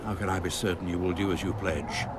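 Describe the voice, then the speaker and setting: Game casting: man playing cornered baron, very low male voice, guarded menace, noisy ambience. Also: little pitch movement, fast talker, close to an everyday voice. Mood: wary, resentful. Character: scheming, resentful, cornered nobleman baron. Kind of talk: video game voice acting.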